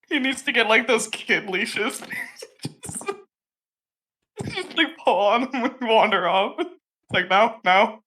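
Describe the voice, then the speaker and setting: A young woman with a slightly deep voice for a woman, chatting and giggling a lot as a player in a tabletop game. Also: wildly swooping pitch, slow pace, pauses often, fairly bright tone, a little hiss or hum. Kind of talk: casual chat while playing a tabletop game.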